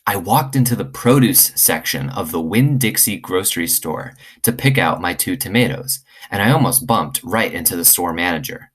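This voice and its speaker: A man reading aloud to children in an expressive storytelling style. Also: deep voice, moderate pace, few pauses, breathy edge. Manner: expressive, acts out dialogue. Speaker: teacher reading aloud to students.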